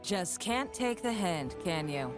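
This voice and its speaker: A woman's voice: fairly low pitch, sing-song, slow. A woman playing a cocky, money-loving mercenary warrior in a video game, dry and dismissive in her delivery. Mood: sardonic. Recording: background music under the voice.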